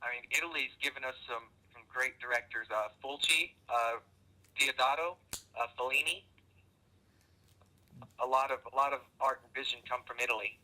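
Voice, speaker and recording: A man hosting a radio talk show, interviewing guests in a relaxed way, with plenty of "uh" and "um" between words. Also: medium pitch, moderate pace, long pauses, rough recording. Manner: filler-heavy, friendly, conversational.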